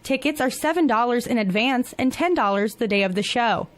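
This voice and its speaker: A woman news reporter reading scripted local news stories in a measured, even delivery. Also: on the high side, crowd noise.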